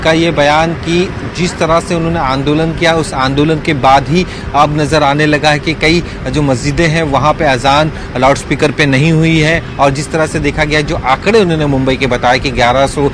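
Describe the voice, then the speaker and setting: Over clear street noise, a man with a fairly high voice files a live news report from the field. He is wordy and halting, circling back to the same stock phrases. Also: fairly even intonation. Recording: clear outdoor traffic noise.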